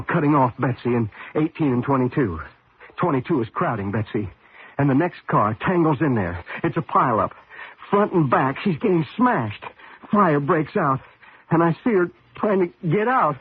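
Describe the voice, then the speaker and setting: An actor playing a troubled, devoted husband and former race driver in a radio mystery drama, speaking in a halting, emotional way. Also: male voice, fairly high pitch, lively intonation, slightly rough. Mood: anguished. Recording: muffled narrow-band old radio recording.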